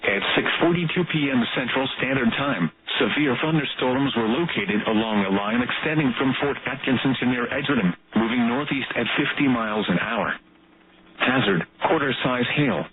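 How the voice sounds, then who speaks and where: A synthetic-sounding man reading formal emergency weather alerts in a flat, measured way over a tinny radio broadcast. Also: mid-range voice, background noise. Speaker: automated weather radio announcer.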